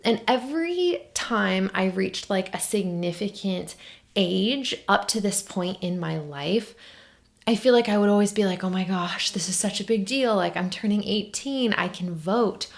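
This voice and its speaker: A woman's voice: mid-range voice, expressive, measured pace, vocal fry. A woman in her twenties hosting a podcast, talking casually and candidly at home, full of "like" and "oh my gosh" asides. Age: 20s. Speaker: podcast host. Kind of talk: casual solo podcast monologue. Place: at home by a window.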